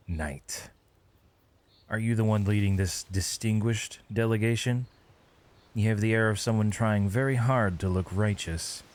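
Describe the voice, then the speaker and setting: A man playing a captured mage in an audio roleplay, defiant and weary, speaking in measured, sardonic, formal phrases. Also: on the low side, darkish tone. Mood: dry mockery. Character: captured male mage, defiant, weary, eloquent, accused villain. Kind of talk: scripted ASMR audio roleplay. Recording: long reverb, clear background noise.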